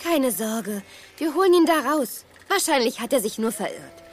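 A woman playing a bright, knowing young girl toy figure in a German children's audio drama. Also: high voice, sing-song, normal speed. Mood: confident, helpful.

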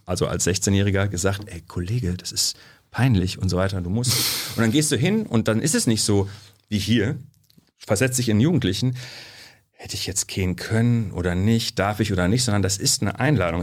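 A man from Germany, a sociologist guest, telling stories in a relaxed studio interview, with lots of fillers. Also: on the low side. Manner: chatty storyteller, frequent fillers.

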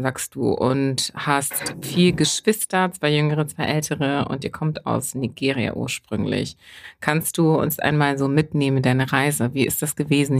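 A woman hosting a podcast, introducing her guest and asking interview questions in a conversational way. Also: a bass voice. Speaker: podcast host.

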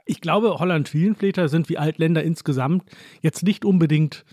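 A man's voice: on the high side, normal speed, a little mellow, dry recording, clean studio sound. A man from northern Germany, a guest chatting casually and thoughtfully in an interview, playing along with jokes.

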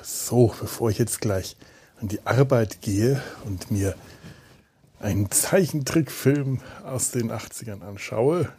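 A man's voice: mid-range voice, takes it slowly, dark tone. A middle-aged man podcasting alone in a casual, meandering monologue, thinking aloud with self-deprecating asides. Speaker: podcast host. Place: indoors on a sofa at home.

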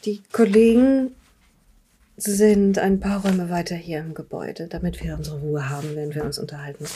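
A woman playing a composed, skeptical doctor in an audio drama, questioning in a measured, probing, incredulous way. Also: on the low side, steady tone, slight background noise, close to an everyday voice. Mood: measured, guarded. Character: composed, skeptical female doctor questioning a patient and taking notes. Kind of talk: improvised audio drama dialogue.

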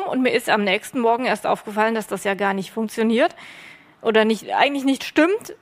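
A woman who took part in the workshop, talking on a panel, reflective and searching for words. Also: fairly high pitch. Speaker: workshop participant. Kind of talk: panel discussion. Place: stage at a festival panel.

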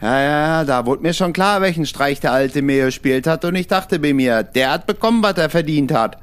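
An actor playing a simple, fearless young fairy-tale miller's son who tells things in a naive, folksy way in an audio drama. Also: male voice, on the high side, animated, normal speed, smooth, lightly acted. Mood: naive, plain.

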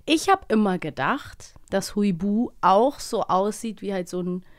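A woman's voice: mid-range voice, animated, measured pace, dry recording, studio quality. A woman with a German accent co-hosting a podcast about audio dramas in an informal, chatty way. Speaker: podcast host. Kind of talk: casual co-hosted chat about children's audio dramas.

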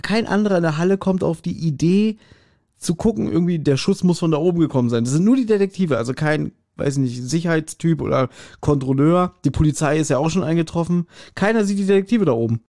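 A man's voice: on the high side, lively intonation, fast, mellow, no room sound, clean studio sound. A man hosting a podcast, casually recapping a story and acting out quotes as he goes. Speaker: podcast host. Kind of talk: casual chat recapping an audio drama.

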